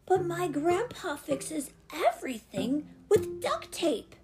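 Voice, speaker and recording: A woman voicing an excitable, impulsive little boy with an eager, childlike manner in a children's storybook. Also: very high-pitched, slow, heavy background noise, pitched above the performer's own voice, exaggerated voice. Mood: doubtful.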